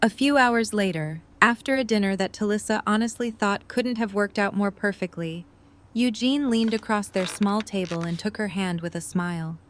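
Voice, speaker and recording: A woman's voice: medium pitch, normal speed. A woman reading scripted third-person story narration for an audio drama.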